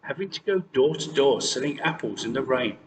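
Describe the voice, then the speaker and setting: A man's voice: mid-range voice, animated, brisk, long reverb tail, far from the mic, a little hiss or hum, natural voice, rough recording. A man voicing a sweet, trusting young princess in a fairy tale. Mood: pitying.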